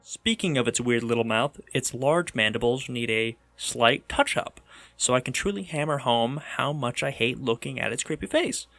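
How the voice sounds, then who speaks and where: A man's voice: medium pitch, animated, moderate pace, vocal fry, fairly bright tone. A man giving a theatrical, tongue-in-cheek voice-over narration with comic asides, as the host of an art video. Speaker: video host, 3D monster artist. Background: background music.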